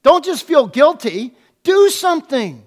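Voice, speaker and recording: High-voiced guy preaching loud and emphatic, lots of repeated questions, bit of joking. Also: big pitch swings, measured pace. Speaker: pastor. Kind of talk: sermon.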